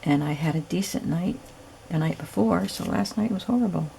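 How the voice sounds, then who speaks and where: Low raspy-voiced woman crafting. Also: normal speed, a little hiss or hum, rough recording. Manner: relaxed, chatty, thinking aloud while working. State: hoarse and raspy from little sleep. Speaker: craft video host. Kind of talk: casual crafting narration. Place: home craft room.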